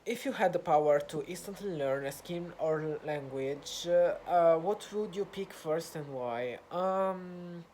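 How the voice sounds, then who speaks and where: A man's voice: on the high side, a little slow, hardly any pauses, darkish tone, slight background noise. Italian man practising English, hesitant. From Italy. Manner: hesitant, many fillers and restarts while searching for words. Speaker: vlogger. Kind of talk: casual talk to camera, practising English.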